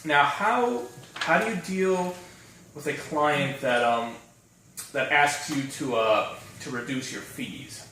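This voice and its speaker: A man's voice: fairly high pitch, slow pace, sharp tone. A man interviewing an attorney, asking friendly questions with frequent um and uh. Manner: friendly, hesitant, many fillers. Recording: roomy, distant mic with faint noise.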